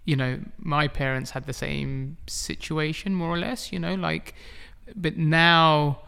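A middle-aged man from England, a guest artist in a casual interview, talking in a halting way with many restarts and frequent chuckles. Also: fairly high pitch, little pitch movement, gravelly, sharp tone, smooth.

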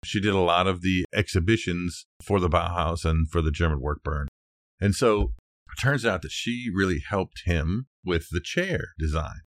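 A man's voice: deep voice, no echo, studio quality. A man co-hosting a casual design discussion, telling researched history in a conversational, hedging way, as if thinking aloud. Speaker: podcast co-host.

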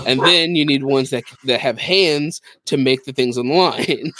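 A man's voice: medium pitch, normal speed, background noise. A man talking casually as a podcast co-host, explaining things with enthusiasm. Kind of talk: casual chat about video games.

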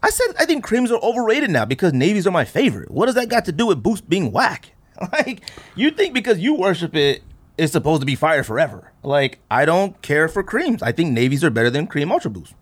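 Guy podcast host debating with friends, really animated pitch, keeps insisting on his point. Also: brisk. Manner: argumentative, insistent, defending his opinions. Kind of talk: casual debate among friends.

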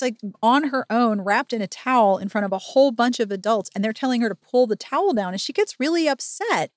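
A woman's voice: medium pitch, no room sound. Woman podcast host, mid-range voice in a dry recording, sweary and emphatic book-recap chat.

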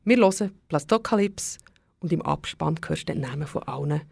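A woman's voice: sits low, lively intonation, normal speed, gravelly, a little mellow, a little hiss or hum. A woman with a Swiss German accent presenting on radio in a conversational way, speaking directly and informally to the listener. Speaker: radio host.

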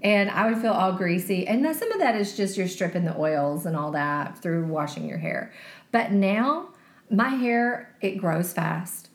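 A woman in her forties co-hosting a casual health podcast, chatty and quick to laugh. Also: fairly low pitch. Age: 40s. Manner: chatty, laughs easily, talks through advice. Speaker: podcast co-host. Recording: echoey room.

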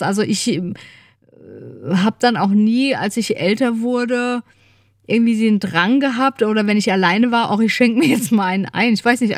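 A woman's voice: on the high side, dry recording, studio quality. A middle-aged woman co-hosting a podcast in casual, colloquial conversation, often adding little tag questions. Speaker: podcast co-host. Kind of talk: casual chat.

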